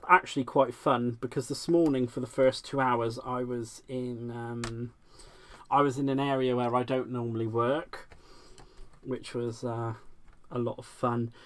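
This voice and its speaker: A man with a soft West Country accent hosts a live crafting stream. He chats along in a relaxed, self-deprecating way and laughs often as he works. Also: mid-range voice, measured pace. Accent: West Country English. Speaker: craft livestream host. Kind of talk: casual live-stream chat while crafting.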